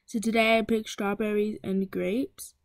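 A woman's voice: medium pitch, flat delivery, a little slow, no echo. A teenage girl talking casually about packing her lunch in a home kitchen, rambling with lots of fillers and restarts. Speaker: vlogger. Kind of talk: casual voice-over chat.

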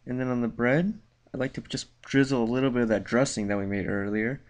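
A young man walks through a simple recipe in a loose, conversational way, dropping "kinda" and "like" as he goes. His voice sits in an ordinary middle register, and he sounds unhurried. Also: medium pitch. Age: young adult. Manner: laid-back, lots of "kinda" and "like". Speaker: cooking show host. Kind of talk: casual cooking tutorial. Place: cluttered room mid-move, indoors.

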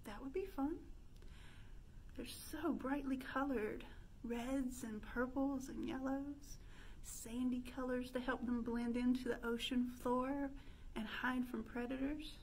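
High-pitched, slow-talking woman leading children's storytime. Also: steady tone, a little mellow, slight background noise, poor audio. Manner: sing-song, addressing young children with questions. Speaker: children's librarian hosting storytime. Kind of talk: children's storytime, interactive pretend play. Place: indoor room with stone fireplace.

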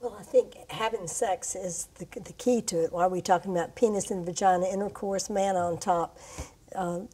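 This is an older woman. Her voice is mellow and rounded, with no hard edge. She speaks as an expert explaining things at a round-table studio discussion. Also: medium pitch, fairly even intonation, moderate pace, husky. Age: older, around 60s-70s. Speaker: expert panelist. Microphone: lapel mic.

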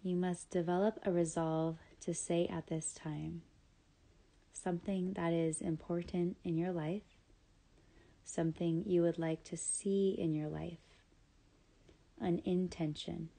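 A woman leading a scripted guided yoga nidra meditation, speaking in calm, soothing, measured instructions with pauses. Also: lowish voice, vocal fry.

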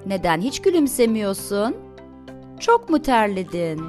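A woman narrating a children's cartoon in a simple, expressive way, talking to the characters and asking questions. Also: mid-range voice, unhurried. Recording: studio voice-over with faint music behind. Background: faint music.

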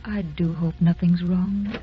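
A woman's voice: fairly low pitch, fairly even intonation, slow pace. An actress playing an anxious, kindly married woman in an old radio drama. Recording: dull old radio recording, faint music.

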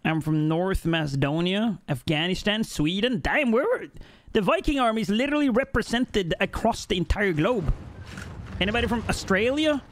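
A man's voice: high voice, animated, moderate pace. A young man from Norway on a headset, chatting with his live-stream chat while playing, jokey and rambling. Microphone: headset mic.

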